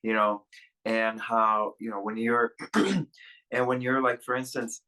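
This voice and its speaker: Halting, bright-voiced young man. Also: mid-range voice, fairly even intonation, low-quality recording. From Russia. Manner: rambling, halting, many fillers and restarts. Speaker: podcast guest. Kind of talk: candid personal interview. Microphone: video call.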